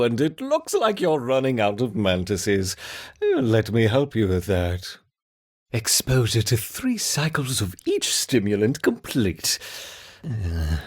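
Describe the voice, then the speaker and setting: A mad scientist for a video game, gleefully cruel and theatrical. His voice is rough and husky, swooping enormously from low murmurs to high shrieks. He draws words out slowly with mock sweetness, as if coddling his test subjects. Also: heavily acted. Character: unhinged male scientist, gleefully cruel, running insect experiments. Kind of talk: scripted video game voice acting.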